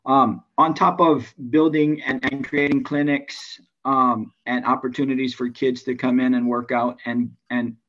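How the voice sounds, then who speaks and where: A man from Hawaii with a Hawaiian accent, a baseball coach talking casually to a club meeting over a video call, halting with many restarts and fillers. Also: medium pitch, flat delivery, fairly bright tone. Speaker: high school baseball coach. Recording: dull lo-fi sound.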